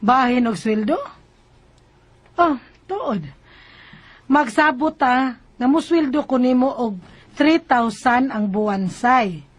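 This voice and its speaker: An actress playing a reassuring, businesslike unmarried schoolteacher with a Cebuano accent in a radio drama. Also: female voice, sits high, slow pace, pauses often. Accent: Cebuano Filipino. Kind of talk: Filipino radio drama acting.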